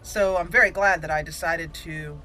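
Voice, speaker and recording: A woman's voice: lowish voice, fairly even intonation, normal speed, pushed and bright, faint music behind, low-quality recording. A woman hosting a gaming channel with casual roleplay commentary, chatty and easily amused.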